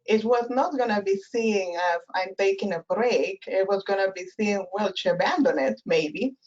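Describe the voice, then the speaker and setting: A woman's voice: mid-range voice, moderate pace, a little mellow. Woman, Spanish accent, Spanish native speaker, webinar guest on a video call, reflective and hesitant delivery, dull lo-fi audio. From Spanish-speaking country. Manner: thoughtful, many fillers and restarts. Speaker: guest professor. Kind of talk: webinar interview.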